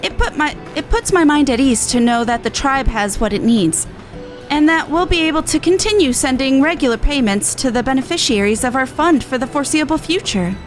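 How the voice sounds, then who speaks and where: A woman's voice: high voice, expressive, brisk, soft background music. A woman voicing an earnest, dutiful young tribeswoman and resistance veteran in video game dialogue. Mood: grateful, upbeat.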